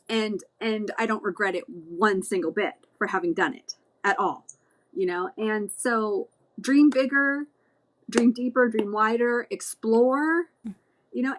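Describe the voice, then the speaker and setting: Woman, mid pitch, encouraging, filler words, casual group podcast chat. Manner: encouraging, lots of affirming murmurs and fillers. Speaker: podcast guest.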